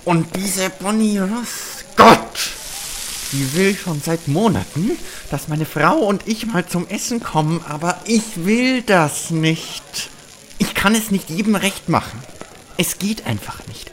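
A man's voice: sits high, expressive, slow, fairly bright tone, a little hiss or hum, heavily acted. A man playing an overwhelmed, self-pitying game studio director who rambles in anguish in an audio comedy sketch.

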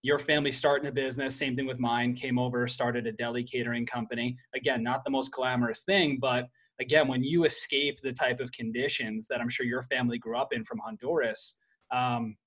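A man's voice: medium pitch, fairly even intonation, a little fast, fairly bright tone, poor audio. A man hosting a podcast interview, casual and enthusiastic, calling his guest "man" and "bro". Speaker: podcast host.

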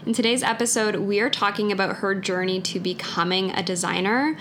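Podcast host, female, steady mid-range voice, faint hiss underneath. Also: medium pitch, a little fast. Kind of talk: scripted intro, then interview questions.

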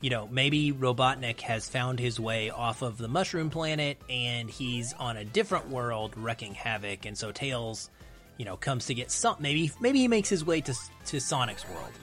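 A man's voice: mid-range voice, lively intonation, normal speed, a bit bright, faint music behind. A man speaking as a podcast guest in casual film discussion, thinking aloud as he goes.

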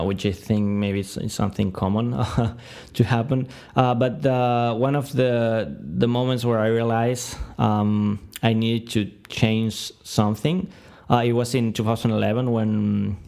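A man's voice: medium pitch, gravelly, darkish tone. A man of twenty-nine from Argentina with a Spanish-speaking accent, giving reflective interview answers and often searching for words. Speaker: podcast guest.

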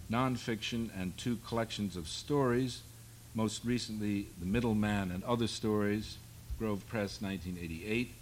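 A man in his sixties with a lowish voice moderates a live panel before an audience. He talks in a wry, rambling, self-deprecating way, and a faint hum of the room sits behind him. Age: 60s.

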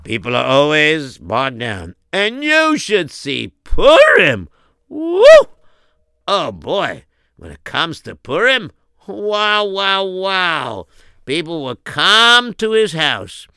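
A man's voice: on the high side, wildly swooping pitch, slow pace, creaky, pushed and bright, clear, resonant tone, dry recording, clean studio sound. A man telling a comic story as narrator, in a folksy, exclamatory way.

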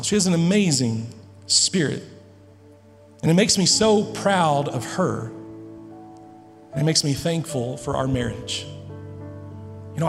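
A man's voice: a little high, creaky. Male pastor, earnest emphatic sermon delivery, big reverberant church hall. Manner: earnest, emphatic preaching with dramatic pauses.

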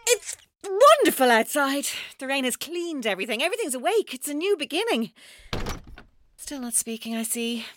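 An actress playing a controlling, taunting female captor in an audio drama, speaking in a deliberate, coaxing way. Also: high voice, big pitch swings, fairly bright tone, slight background noise. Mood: mocking, airy. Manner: deliberate, coaxing, coldly mocking. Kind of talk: audio drama acting, monologue-like.

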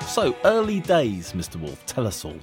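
A man's voice: mid-range voice, wildly swooping pitch, moderate pace, music in the background. A man interviewing a musician, giving short prompts and questions.

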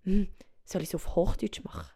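A Swiss woman speaking German with a Swiss German accent, hosting a reflective solo podcast, thinking aloud softly and often dropping to a whisper. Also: on the low side, wildly swooping pitch, brisk, breathy edge. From Switzerland. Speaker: podcast host. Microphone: home microphone.

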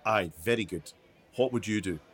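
An actor playing a brisk male documentary maker who puts questions to people in a radio play. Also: lowish voice, slightly rough. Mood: inquisitive. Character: self-proclaimed master documentary maker, brisk male interviewer. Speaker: documentary maker interviewing migrants.

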